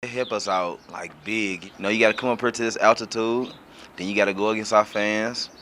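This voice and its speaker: A man's voice: lowish voice, steady tone, fairly quick, a bit bright, a little hiss or hum. A male athlete answering questions casually and colloquially in a post-game interview. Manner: informal, colloquial. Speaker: football player being interviewed.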